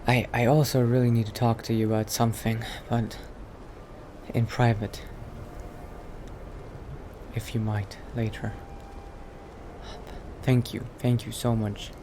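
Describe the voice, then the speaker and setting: A man plays a guarded, polite newcomer in an improvised game roleplay. He speaks haltingly and low-key at an average male pitch, with clear ambient noise behind him. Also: little pitch movement, natural voice. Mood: polite, hesitant. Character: hesitant, polite, secretive male newcomer adventurer.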